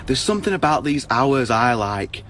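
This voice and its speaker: A man's voice: mid-range voice, sing-song, normal speed, fairly bright tone, a little hiss or hum. A man acting a sardonic, charming, down-on-his-luck salesman in a radio play, delivering a wry, rueful verse monologue. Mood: wakeful, wistful. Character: sardonic charming half-Latin salesman sleeping rough in car. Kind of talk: poetic radio drama acting.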